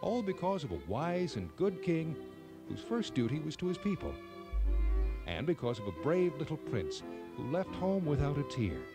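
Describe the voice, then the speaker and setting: A man narrating a children's fairy tale in an expressive, measured storytelling style. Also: a little high, music in the background.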